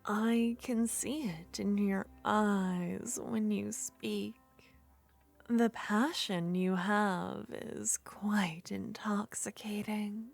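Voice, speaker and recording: Sweet clingy witch played by a woman, soft and whispery, super slow and drawn out, little bit of music behind. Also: mid-range voice, creaky, heavily acted. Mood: coaxing. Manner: soft-spoken, slipping into whispers, coaxing and flattering. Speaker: ASMR roleplay performer. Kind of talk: ASMR roleplay.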